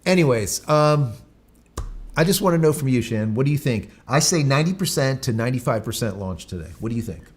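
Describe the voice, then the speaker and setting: A man's voice: mid-range voice, expressive, brisk. A man hosting a live stream, chatting casually, rambling, with many fillers and restarts. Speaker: livestream host.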